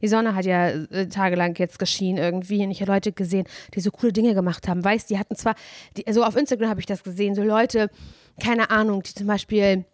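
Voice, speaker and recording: A woman's voice: medium pitch, fairly even intonation, fast, no room sound, clean studio sound. A woman co-hosting a casual podcast, chatty and rambling, sick at home with a cough. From northern Germany. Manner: chatty, rambling, lots of "weißt?" tag questions. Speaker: podcast co-host. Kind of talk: casual chat. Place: home, on the couch.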